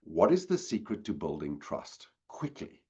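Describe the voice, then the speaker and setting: A man's voice: sits low, huge pitch swings, unhurried, a little mellow, husky, muffled recording. A man with a South African accent giving a webinar talk, addressing the audience directly and engagingly. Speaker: guest speaker. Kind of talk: webinar presentation. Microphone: video call.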